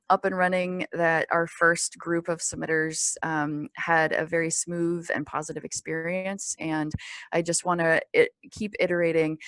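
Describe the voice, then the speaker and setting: A woman presents an informal training webinar in a lowish voice with a gravelly fry. She explains things step by step, halting often between phrases. The sound is close and dry, with hardly any room in it. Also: no echo. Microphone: conference call or webinar microphone.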